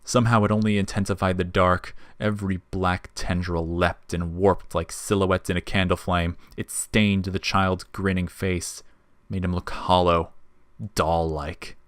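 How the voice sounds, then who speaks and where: A man's voice: low voice, flat delivery, a little slow, clear, resonant tone, no room sound, studio quality. A man reading scripted narration for an audio fiction story.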